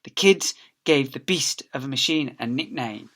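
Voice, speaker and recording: A man's voice: mid-range voice, normal speed, a bit bright. A man, a teacher reading aloud, tells a children's story expressively, with theatrical emphasis and phrases that drop away at the end.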